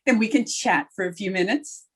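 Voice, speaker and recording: An actress playing a wry, wisecracking high school teacher in an old-time radio comedy, with dry, sardonic delivery. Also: female voice, normal speed, breathy edge, natural voice. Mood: chatty, coy. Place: remote recording over video call.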